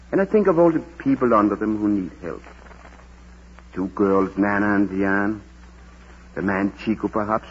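Patient parish priest: low, breathy-rough voice, kindly and reflective, dull vintage radio. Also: male voice. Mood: reflective, hopeful. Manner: thoughtful, measured, kindly. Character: gentle, wise, patient male parish priest. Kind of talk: Golden Age radio drama acting. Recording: dull old radio drama recording.

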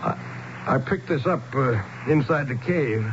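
An actor with an American accent playing a weary, bewildered husband in a radio drama, halting and earnest as he tells his uncanny tale. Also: mid-range voice, animated, background noise, close to an everyday voice. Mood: awed. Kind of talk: 1970s radio drama acting, first-person narration and dialogue. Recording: muffled old radio recording, loud music.

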